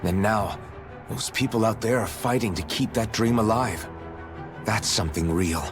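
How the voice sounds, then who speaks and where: An actor voicing a sincere, steadfast warrior companion in a video game, earnest and measured. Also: male voice, fairly low pitch, close to an everyday voice. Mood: earnest, encouraging. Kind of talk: dubbed video game cutscene acting. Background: clear background music.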